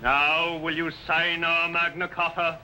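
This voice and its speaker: An actor playing a bold, righteous medieval archbishop, speaking in a formal, firm, declamatory manner in an old television drama. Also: male voice, on the high side, level tone, unhurried, sharp tone. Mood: defiant. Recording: noisy 1950s television soundtrack.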